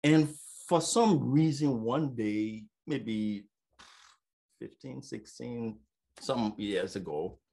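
A man's voice: mid-range voice, slow pace, vocal fry, warm tone. A man who works as a business coach, speaking on a casual panel. He stutters lightly, with repeated sounds and many "you know" fillers.

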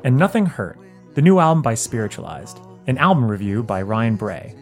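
A man narrating a written album review in a measured, articulate way. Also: mid-range voice, big pitch swings, soft background music. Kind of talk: scripted narration.

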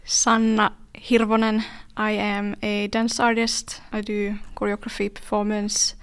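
A woman's voice: medium pitch, completely flat delivery, a little slow, clean tone. A woman from Finland speaking reflectively as a guest in an interview. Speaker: dance artist, podcast guest.

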